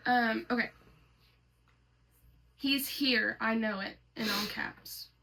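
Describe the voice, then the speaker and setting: Female storyteller, higher-pitched with vocal fry, casual host reading posts aloud with asides. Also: takes it slowly, pushed and bright. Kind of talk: casual read-aloud with commentary.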